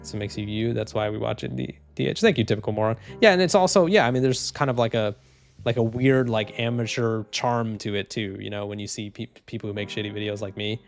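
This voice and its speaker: A man streaming casually, reading chat comments in a low, even, unhurried voice with lots of fillers and restarts. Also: creaky, soft background music. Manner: laid-back, rambling, many fillers and restarts.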